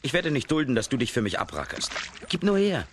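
An actor playing a fearless, strong young folk-tale hero in a children's radio play, hearty and resolute. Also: male voice, mid-range voice, wildly swooping pitch, a little fast, slight background noise. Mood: resolute, friendly.

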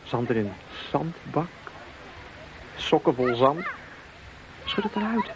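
Soldier guy musing to himself, radio drama, slow, voice moves around a lot, noisy background. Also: on the high side, wildly swooping pitch, exaggerated voice. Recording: noisy radio play recording.

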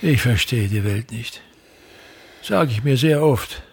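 An actor playing a pompous, domineering old theatre patriarch in a radio play, complaining theatrically in a raspy voice and repeating his phrases. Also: mid-range voice, animated, slow, a little mellow, heavily acted. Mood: disappointed, sentimental. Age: older. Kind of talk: stage-like radio play acting.

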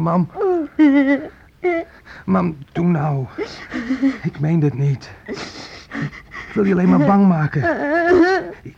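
Volatile, high-pitched young bully. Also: male voice, creaky, darkish tone, exaggerated voice. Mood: pleading. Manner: menacing, swings into childish pleading. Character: volatile young man, armed fugitive bullying his mother. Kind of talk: radio play acting. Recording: muffled, noisy old radio play recording.

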